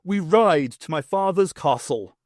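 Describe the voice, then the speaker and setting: A man's voice: on the high side, expressive, unhurried, a little mellow, dry recording, studio quality. A man playing an earnest young fairytale prince in an audiobook, speaking in an ardent, declamatory manner. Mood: gallant resolve.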